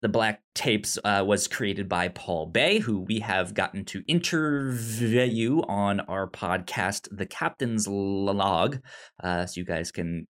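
A man chatting casually as a podcast co-host, halting as he thinks aloud. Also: on the low side, a bit bright. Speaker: podcast co-host giving recommendations. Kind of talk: casual film discussion chat.

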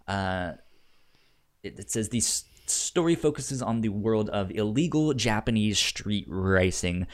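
A man co-hosting a casual review podcast, with a stutter that repeats sounds and a halting, easygoing delivery. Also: fairly low pitch. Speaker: podcast co-host.

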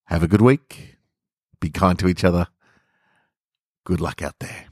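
A man hosting a story podcast, speaking with measured, deliberate phrasing. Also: deep voice, darkish tone, breathy edge. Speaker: podcast host and story reader. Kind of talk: literary story narration and informal sign-off.

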